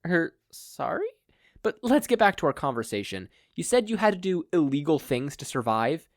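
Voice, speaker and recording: A man's voice: a little high, very animated, moderate pace, dry recording, voice pushed up. A worried, timid mother as a male reader puts her on. The voice is lifted well above his own, hesitant and nervous, yet politely candid. It is a dry storybook-style recording. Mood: uneasy.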